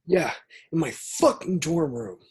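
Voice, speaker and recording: A man reading the part of a brave, hot-headed young college student in an amateur horror screenplay table read, with a husky voice. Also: fairly high pitch, expressive, measured pace, darkish tone, full character voice. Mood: angry disbelief.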